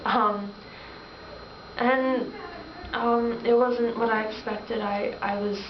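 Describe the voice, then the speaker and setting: Halting young trafficking victim, acted by a woman, echoey and distant. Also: fairly high pitch, steady tone. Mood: subdued, halting. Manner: halting, hesitant. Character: young female trafficking victim, subdued, haunted. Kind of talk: student-acted mock interview testimony. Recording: echoey, distant, noisy lo-fi room recording.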